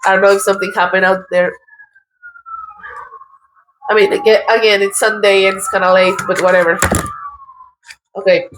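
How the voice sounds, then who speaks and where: A woman from Colombia with a Colombian accent, giving a casual tarot reading in a halting, thoughtful way, often restarting her words. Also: mid-range voice, flat delivery, fairly bright tone, slight background noise, low-quality recording. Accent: Colombian Spanish. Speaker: tarot reader.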